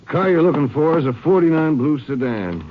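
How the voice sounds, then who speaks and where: A man's voice: on the high side, lively intonation, normal speed, close to an everyday voice. An American actor playing a plain-spoken, folksy man of the West in a radio drama, talking casually. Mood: businesslike. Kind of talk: 1950s radio western acting. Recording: muffled, faintly noisy old radio recording.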